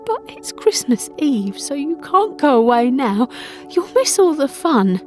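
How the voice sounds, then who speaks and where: A woman's voice: high voice, very animated, a little slow, darkish tone, soft background music, voice pushed up. A woman acting out a hopeful, imploring young girl begging her father in an audio drama. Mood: anxious. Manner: childlike, imploring.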